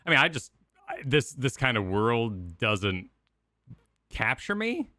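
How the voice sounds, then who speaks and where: Male gaming co-host, sharp-edged low voice, dry close sound, measured and opinionated in casual discussion. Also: very animated, no room sound. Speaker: co-host of a gaming reaction stream.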